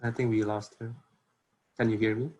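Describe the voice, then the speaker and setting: A man's voice: fairly low pitch, flat delivery, normal speed, a little mellow. A young man from Indonesia with an Indonesian accent, giving an informal workshop talk over a video call in earnest, hesitant phrasing. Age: young adult. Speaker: workshop presenter.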